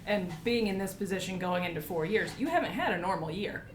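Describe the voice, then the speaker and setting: A woman's voice: medium pitch, expressive, brisk. An American woman hosting a conversational podcast interview, asking questions and giving short acknowledgements. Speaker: podcast host. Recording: echoey room with faint background noise.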